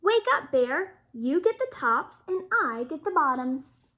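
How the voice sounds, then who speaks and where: A woman's voice: high-pitched, sing-song, measured pace, sharp tone, full character voice. A woman voicing a clever, eager male hare who schemes and persuades in a storybook. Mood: brisk, cheerful. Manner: persuasive, upbeat, salesman-like.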